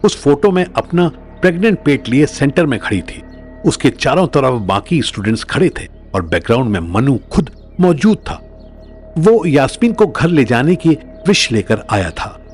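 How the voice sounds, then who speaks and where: Animated male audio story narrator. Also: medium pitch, normal speed, soft background music.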